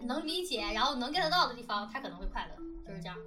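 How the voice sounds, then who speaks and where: A woman's voice: fairly high pitch, sing-song, very fast, far from the mic, loud music behind the voice. A woman hosting a casual chat with colleagues in a playful, teasing manner, often close to laughter. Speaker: host and production team lead.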